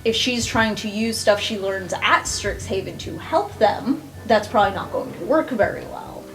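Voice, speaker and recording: A woman's voice: mid-range voice, normal speed, poor audio. A woman playing a tabletop role-playing game, chatting casually as she describes what her character does. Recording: clear music behind the voice. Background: background music.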